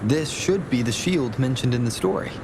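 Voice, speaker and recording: A man playing a calm young adventurer hero in an anime-style game. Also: mid-range voice, moderate pace, heavy background noise, lightly acted. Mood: pleased, thoughtful. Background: music.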